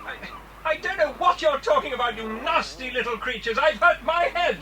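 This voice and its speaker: A man's voice: very high voice, lively intonation, moderate pace, pushed and bright, full character voice. A man playing a flustered, prim stranger who loves birds, stammering in a British accent for a children's audio drama. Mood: indignant. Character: flustered, fussy male bird-lover stranger, stammering and easily alarmed. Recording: faintly noisy old cassette recording.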